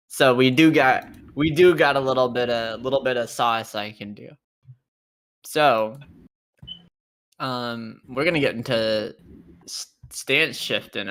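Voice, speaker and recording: Slow-paced, slangy tabletop roleplay player. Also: mid-range voice, fairly bright tone. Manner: slangy, swearing, boastful. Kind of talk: casual game-session chat, narrating combat moves.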